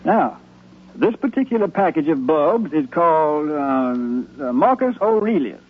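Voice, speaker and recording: An actor playing a kindly, amused old grandfather with a husky voice, hesitant and indulgent, in a 1950s radio drama. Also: on the high side, very animated, a little slow. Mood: gently teasing. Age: older. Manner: hesitant, chuckling, indulgent with children. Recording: muffled, tinny 1950s radio recording.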